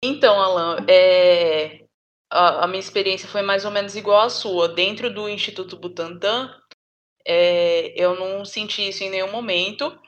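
A woman with a São Paulo accent and a strong R, speaking in a lively, casual conversation. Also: mid-range voice, normal speed, dull audio. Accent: São Paulo Portuguese.

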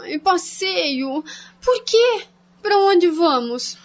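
Filha jovem agudíssima e manhosa. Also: female voice, very high-pitched, expressive, heavily acted. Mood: whiny reluctance. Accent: Brazilian. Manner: whining, drawn-out pleading. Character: reluctant, withdrawn young daughter, whiny. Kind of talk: radio soap acting. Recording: dull, lo-fi radio drama recording.

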